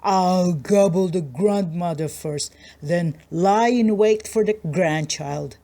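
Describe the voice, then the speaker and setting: A woman doing the gruff, sly big bad wolf. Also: fairly low pitch, slow, mellow. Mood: scheming. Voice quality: gruff, falsely polite.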